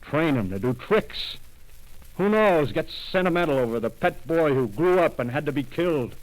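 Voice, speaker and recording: An actor playing a rough, power-hungry soldier in a 1930s radio drama, talking in coarse streetwise slang and pressing his point. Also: a little high, sing-song, moderate pace, poor audio. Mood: scheming.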